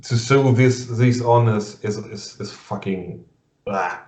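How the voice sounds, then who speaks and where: A man with a German accent interviewing a guest over a video call in English, halting and full of fillers and restarts, laughing often. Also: fairly low pitch, a little mellow. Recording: muffled lo-fi sound in an echoey room.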